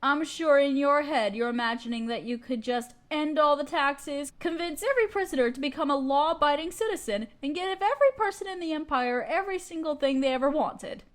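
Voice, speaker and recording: High-voiced haughty evil queen, acted by a woman. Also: fairly quick, hardly any pauses, lightly acted. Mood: scornful. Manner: theatrical, condescending, commanding. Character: haughty evil queen, imperious sorceress ruling an empire. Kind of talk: scripted audio roleplay monologue.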